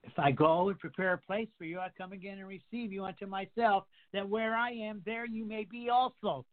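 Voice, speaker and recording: A man in his sixties preaches with a fairly high voice, piling up repeated phrases and firing off rhetorical questions at his listeners. It sounds like a narrow, tinny phone line. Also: steady tone, fairly quick. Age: 60s. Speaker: pastor. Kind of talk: radio sermon.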